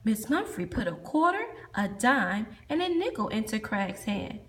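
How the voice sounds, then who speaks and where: A woman's voice: on the high side, sing-song, normal speed, fairly bright tone, a little hiss or hum. A woman teacher reading a children's storybook aloud as its narrator.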